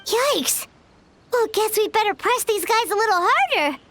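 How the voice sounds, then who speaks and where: A woman voicing a tiny, excitable, non-human fairy companion who talks bluntly and impatiently in a game. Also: extremely high pitch, moderate pace, full character voice. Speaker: sidekick. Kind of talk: game voice acting.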